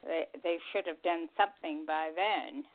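Female co-host, slightly low voice, halting and chatty, heard through a narrow phone-like line. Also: steady tone. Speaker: radio show co-host. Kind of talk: casual radio chat and show announcements. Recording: tinny phone line, faint music behind.

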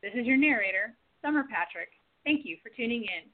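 A woman's voice: fairly high pitch, lively intonation, a little fast. Woman narrator on a tinny line. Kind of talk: scripted radio play narration.

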